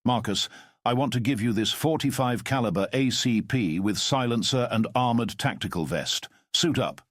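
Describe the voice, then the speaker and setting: A man voicing a calm, commanding middle-aged former spy who speaks in a measured, formal way. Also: mid-range voice, no room sound, clean studio sound, close to an everyday voice. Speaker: storyteller. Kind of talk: audio drama storytelling.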